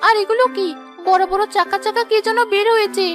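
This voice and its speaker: Woman playing a very high-pitched, theatrical young village wife. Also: flat delivery, fairly quick, over music. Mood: distressed. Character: vain, gullible young village wife, desperate to be fair-skinned. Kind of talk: Bengali cartoon moral drama voice acting.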